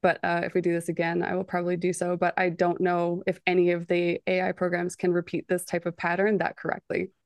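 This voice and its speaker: A woman's voice: fairly low pitch, monotone, brisk, hardly any pauses, no room sound. A woman chatting casually on a panel, informal and wry, with colorful slang. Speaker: photography panelist presenting image examples. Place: remote webinar, close dry sound.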